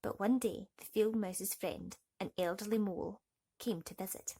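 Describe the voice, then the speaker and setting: A woman's voice: mid-range voice, fairly even intonation, moderate pace, creaky, mellow, husky. A woman storyteller narrating a bedtime story expressively for children.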